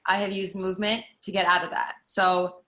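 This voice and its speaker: A woman's voice: mid-range voice, flat delivery, moderate pace, sharp tone. A woman in her thirties from Costa Rica, a fitness instructor talking earnestly about her work in a casual panel chat. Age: 30s. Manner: earnest, reflective, frequent fillers.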